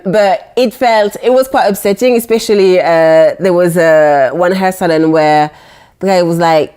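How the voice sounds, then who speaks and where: A young woman with a French accent, a blogger being interviewed, chatting casually and telling animated stories with mock voices for the people in them. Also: fairly low pitch, moderate pace, clear, resonant tone. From France. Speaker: guest, blogger.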